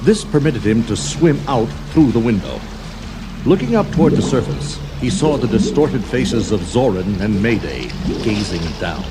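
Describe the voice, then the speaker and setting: Low-voiced man narrating an adventure storybook. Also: sing-song, normal speed, very noisy. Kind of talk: scripted children's adventure narration. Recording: 1980s storybook cassette with music underneath. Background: background music.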